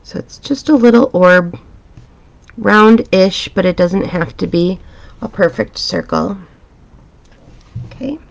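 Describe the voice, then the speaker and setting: A woman teaching a drawing pattern step by step in a calm, chatty, reassuring way, her voice a little raspy. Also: mid-range voice, slow, long pauses, muffled recording. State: slightly raspy from a dusty room. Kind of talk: relaxed step-by-step drawing tutorial. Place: home art studio, indoors.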